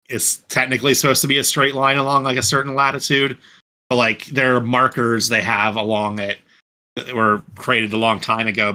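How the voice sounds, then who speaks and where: A man's voice: medium pitch, sharp tone. A man chatting casually as a podcast panelist, rambling and correcting himself as he goes, often saying "like". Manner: rambling, self-correcting, frequent "like". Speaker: podcast panelist and fan dub voice actor. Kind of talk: casual group chat.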